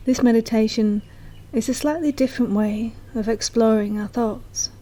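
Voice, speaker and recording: A woman narrating a guided meditation in a soft, slow, soothing manner, as a guide speaking directly to the listener. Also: a little high.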